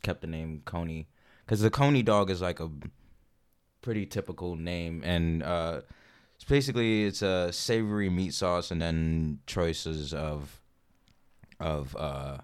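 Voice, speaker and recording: An American man co-hosting a podcast, chatting casually and jokily as he reads out information in a halting way. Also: low voice, smooth. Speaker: podcast co-host. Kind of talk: casual comedic chat, reading out information.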